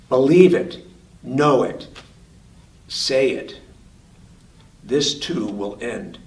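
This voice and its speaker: A physician and author reading his own essay aloud in a slow, measured, deliberate cadence. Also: mid-range voice. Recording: roomy sound from a distance.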